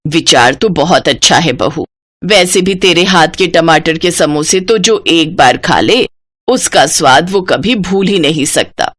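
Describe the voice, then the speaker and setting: A woman voicing an affectionate, approving elderly mother-in-law in a moral cartoon story, speaking slowly and emphatically. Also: sits low, fairly bright tone, pitched below the performer's own voice. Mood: concerned, approving.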